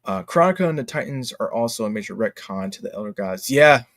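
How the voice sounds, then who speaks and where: A man's voice: mid-range voice, expressive, fast, fairly bright tone, no echo, studio quality. A man hosting a casual livestream, chatting informally and emphatically with strong opinions and slang like dude and bro. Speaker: livestream host. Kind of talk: casual livestream chat about games.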